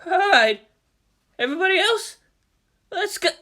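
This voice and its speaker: A woman's voice: very high-pitched, very animated, measured pace, bright, forceful tone, pitched above the performer's own voice, exaggerated voice. A woman voicing a nervy, irreverent soldier leading a mech squad, mixing clipped military radio talk with casual swearing. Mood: uneasy.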